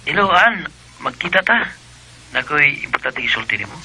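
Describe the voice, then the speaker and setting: Sharp, bright jealous young Bisaya husband. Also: male voice, a little high, big pitch swings, normal speed, creaky, slight background noise, full character voice, poor audio. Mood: serious. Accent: Bisaya Filipino. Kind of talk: radio drama acting.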